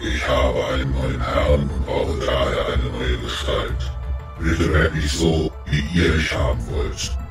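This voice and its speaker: A huge demonic beast speaks at a slow, measured pace in formal, submissive words. The voice is bottomlessly low and echoes over prominent music, as in a fantasy radio play. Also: male voice, steady tone. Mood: devoted, earnest. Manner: slow, pleading, archaic formal speech. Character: huge fearful, servile male hellhound turned tiger beast. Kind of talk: fantasy audio drama acting.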